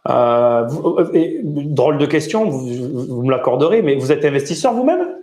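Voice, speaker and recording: A fairly high-voiced man from southern France hosts a podcast in a roomy, echoing space. He asks polite, probing questions and then breaks down what he heard for his listeners in a lively way. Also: normal speed. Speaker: podcast host. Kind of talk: phone interview, then commentary to listeners.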